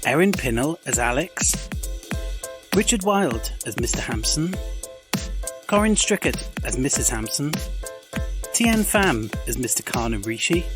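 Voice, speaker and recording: A man announces scripted podcast credits. His voice is of middling pitch and varies in a lively way, with music clearly playing behind.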